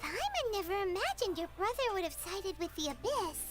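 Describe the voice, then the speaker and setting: A tiny childlike fairy companion from an anime game. The voice is piercingly high and squeaky, with very animated swings in pitch. The manner is cutesy, loyal and bossy, and music plays clearly behind. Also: female voice, fairly quick, heavily acted. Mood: encouraging. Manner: cutesy, refers to herself in third person. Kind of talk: anime video game voice acting.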